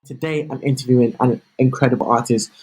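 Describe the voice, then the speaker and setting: A man's voice: mid-range voice, fairly even intonation, fast talker, a little mellow, slightly rough, poor audio. A man hosting a music show, reading prepared questions to his guest in an upbeat tone and praising her freely. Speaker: podcast host, interviewer. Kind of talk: scripted interview questions.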